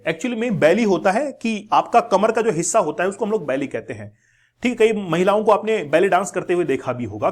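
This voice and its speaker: Indian guy with a pretty high voice explaining news like a teacher, repeats key words, drops in english terms. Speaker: educational channel presenter. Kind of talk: explanatory news lesson to viewers.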